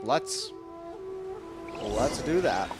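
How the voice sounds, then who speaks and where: A young man streaming a game with casual, joking, slangy commentary and quick reactions. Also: mid-range voice, animated, over music. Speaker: gaming streamer.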